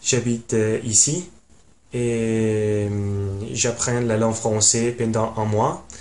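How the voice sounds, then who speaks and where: Halting young Italian man, slow and flat. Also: on the low side. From Italy. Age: 20s. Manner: halting, many pauses and fillers. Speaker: language learner. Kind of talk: casual self-introduction. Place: small room at home.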